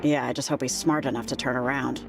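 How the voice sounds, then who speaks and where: A woman's voice: a bass voice, steady tone, fast talker, vocal fry. A woman playing a level-headed, caring adventurer in a video game, with a dry, direct manner. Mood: calm, dry. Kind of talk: conversational video game acting. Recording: music behind the voice. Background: music.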